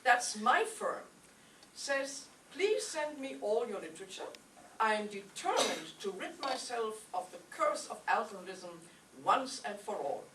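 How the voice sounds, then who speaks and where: A woman's voice: a little high, very animated, measured pace. A woman playing a formal, insistent businesswoman and concerned mother in an old-time radio comedy. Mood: indignant, formal. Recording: echoey stage, distant mic, faint noise.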